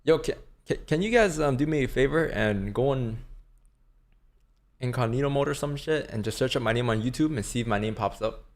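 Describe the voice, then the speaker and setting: A man's voice: mid-range voice, fairly quick. A man in his twenties, chatting casually on a livestream and answering chat questions, laid-back and swearing now and then. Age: 20s. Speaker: livestream day trader. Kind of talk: casual live-stream chat.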